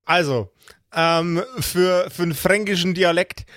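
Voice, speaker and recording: Highish, dry-recorded man in casual banter. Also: fairly even intonation, unhurried, fairly bright tone, dry recording. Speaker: podcast cast member.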